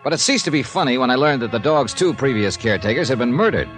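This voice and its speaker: Male actor as a wry 1950s radio private investigator: brisk, dry, lively inflection, over music. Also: mid-range voice, lightly acted. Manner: brisk, dry, wry first-person narration. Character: wry freelance insurance investigator. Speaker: narrator and lead of radio drama.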